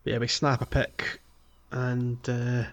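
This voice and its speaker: A man with a mid-pitched voice hosts a relaxed video game podcast. He recaps his progress conversationally, often pausing and feeling his way through the sentence. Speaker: podcast host. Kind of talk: casual gaming podcast chat, recapping a playthrough.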